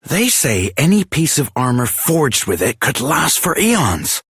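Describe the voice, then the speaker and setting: A kindly old-comrade armour smith for a video game. He speaks unhurriedly with a bright, forceful edge and big swings in pitch, wistful and earnest. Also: very animated, a little slow, husky, no echo, studio quality, full character voice. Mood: wistful, friendly. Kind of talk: scripted video game voice acting.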